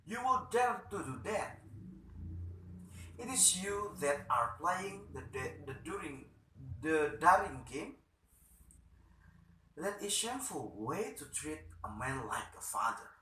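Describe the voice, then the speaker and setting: Man reading as indignant stubborn doctor, echoey and sharp. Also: a little high, very animated, recorded from a distance, slight background noise, voice pushed up, exaggerated voice. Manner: defiant, exclamatory, halting. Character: indignant, stubborn middle-aged male idealist doctor.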